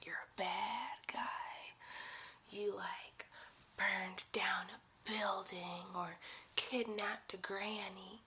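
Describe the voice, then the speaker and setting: A woman's voice: medium pitch, fairly even intonation, very slow, pushed and bright, a little hiss or hum. A woman voicing a giddy, bossy young superhero sidekick in a whispered, theatrical, teasing ASMR roleplay. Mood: insistent, teasing.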